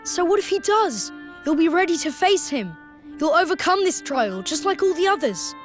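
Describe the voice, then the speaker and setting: A woman voicing a small, excitable, encouraging young companion in a fantasy game, with an animated delivery. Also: very high voice, moderate pace, faint music behind. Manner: animated, cheerleading.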